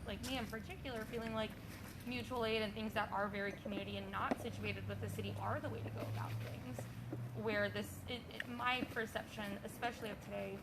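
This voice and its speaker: Earnest woman amid loud noise. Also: fairly high pitch, steady tone, fast. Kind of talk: earnest unscripted public comment. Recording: loud ambient noise over voice.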